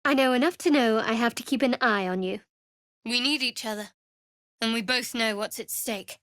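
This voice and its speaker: An actress playing a guarded, devout young woman cleric in a video game, with a wry, measured delivery. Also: on the high side, fairly bright tone, no echo, clean studio sound, natural voice. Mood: stern. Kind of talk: video game character voice acting.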